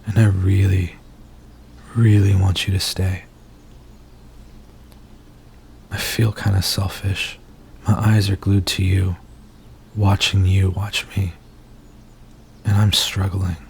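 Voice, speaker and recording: A man acting an anguished, pleading werewolf in human form for an ASMR roleplay, speaking in an intimate, halting, confessional way with a gravelly voice. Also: low-pitched, level tone, a little mellow, a little hiss or hum, full character voice. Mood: earnest, tender.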